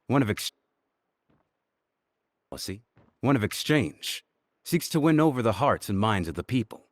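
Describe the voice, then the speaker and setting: A man playing a composed, shrewd young diplomat in a game, speaking in a measured, articulate way with quiet confidence. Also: medium pitch, no echo, studio quality, lightly acted. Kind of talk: dramatic game dialogue.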